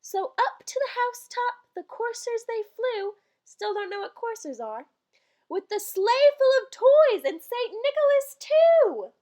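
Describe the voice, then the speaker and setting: A woman's voice: very high voice, sing-song, a little slow. A woman giving a theatrical, comedic reading of a holiday poem, dropping into dry asides between the dramatic lines. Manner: theatrical storytelling delivery broken by dry, quieter asides. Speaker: reader.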